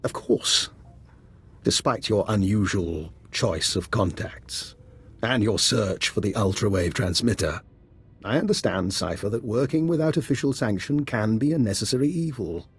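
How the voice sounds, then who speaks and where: A scheming scientist spy in a video game. His husky, fairly low voice is formal and polite, always calculating and persuading. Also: lively intonation, normal speed, exaggerated voice. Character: cunning male scientist agent, smooth-mannered, scheming, politely persuasive. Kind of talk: video game cutscene voice acting.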